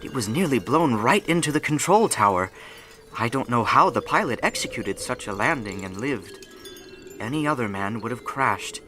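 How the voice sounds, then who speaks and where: An actor playing an anxious local airstrip worker in an old-time radio adventure. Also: male voice, mid-range voice, expressive, moderate pace, over music, lightly acted. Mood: uneasy.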